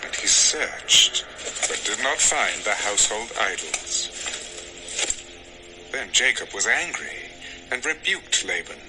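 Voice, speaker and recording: A man's voice: fairly low pitch, very animated, takes it slowly, pauses often, noisy background. A man narrating a dramatized scripture story in a scripted storytelling style.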